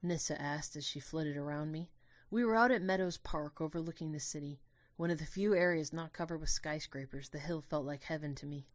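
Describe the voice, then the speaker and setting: Woman w a really low voice reading an audio drama story, measured n expressive, super dry close sound. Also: no room sound. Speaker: narrator. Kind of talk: dramatic scripted first-person story narration.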